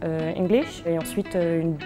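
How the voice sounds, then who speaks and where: A woman's voice: lowish voice, unhurried, music in the background. A young woman, a student, explaining her choices steadily with some hesitation. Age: young adult. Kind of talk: testimonial.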